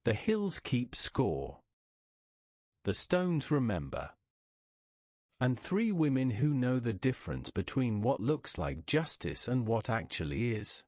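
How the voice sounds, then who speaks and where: A man reading a scripted bedtime story in a measured, wry storytelling manner. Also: medium pitch, big pitch swings, darkish tone, dry recording, studio quality.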